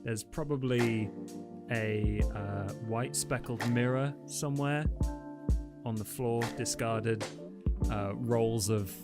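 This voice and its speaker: A man plays a tabletop game in character, sheepish and casual. He drawls his words slowly. Loud plucked guitar music sits behind him. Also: mid-range voice. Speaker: player. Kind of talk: in-character roleplay.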